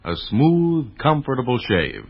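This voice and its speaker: Male ad announcer, punchy and emphatic, rough-edged voice with big swings, dull old broadcast sound. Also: mid-range voice, big pitch swings, unhurried. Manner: punchy, emphatic salesman delivery. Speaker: commercial announcer. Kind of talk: 1940s radio sponsor pitch. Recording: dull old radio recording.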